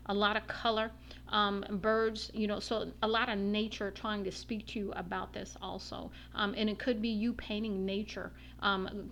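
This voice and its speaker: A woman giving a casual tarot reading, her voice slightly raspy from a long day, meandering with many ums and uhs. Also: mid-range voice, slight background noise. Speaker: tarot reader.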